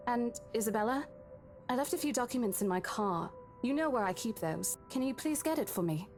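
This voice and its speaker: A woman plays a bossy female real estate agent in a visual novel. She is brisk, polite and businesslike, over faint music. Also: a little high, animated, darkish tone, close to an everyday voice. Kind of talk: visual novel game voice acting.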